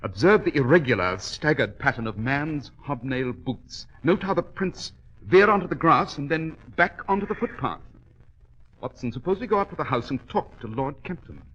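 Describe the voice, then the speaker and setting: An actor playing a keen, commanding English gentleman detective in 1940s radio drama, with a British accent and clipped, precise delivery. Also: a little high, expressive, moderate pace, husky. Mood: keen, assured. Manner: clipped, assured, precise, formal. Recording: dull vintage radio recording.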